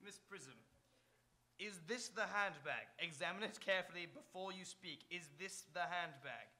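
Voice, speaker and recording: A man playing an earnest, excitable young gentleman in live radio theatre, urgent and theatrical. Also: sits high, moderate pace.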